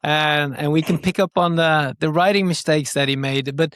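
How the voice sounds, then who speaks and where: A man's voice: a little high, steady tone, moderate pace, a bit bright, dry recording, clean studio sound. Man chatting casually in an interview. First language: Norwegian is not native; speaks it brokenly. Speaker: digital growth marketer, podcast guest.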